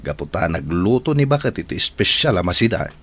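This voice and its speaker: A man's voice: low-pitched, very animated, a little fast, fairly bright tone, full character voice. A man with an Ilocano accent playing a friendly, grateful family friend in an audio drama.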